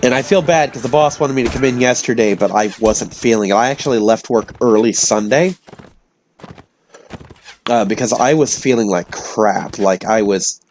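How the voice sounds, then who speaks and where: A man with a hoarse voice from illness, telling rambling stories casually to his audience. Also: mid-range voice, moderate pace, darkish tone, faint music behind. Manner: meandering, self-interrupting, chatty. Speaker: vlogger.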